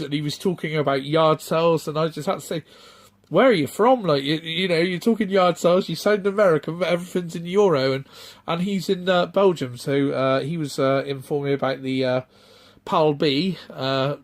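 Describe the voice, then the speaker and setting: A middle-aged man, chatting off the cuff in a rambling, halting way full of ums and restarts. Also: mid-range voice. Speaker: retro game collector vlogger. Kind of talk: casual off-the-cuff vlog chat.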